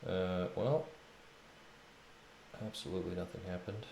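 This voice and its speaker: A man with a deep, creaky voice mutters in short fragments while working through code. His pace is slow and unhurried. The room echoes around him, with keyboard clatter behind. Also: fairly even intonation, dark tone, slight background noise. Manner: mumbling to self in short fragments. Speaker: game developer streamer. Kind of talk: thinking aloud while coding. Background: computer keyboard typing and mouse clicks.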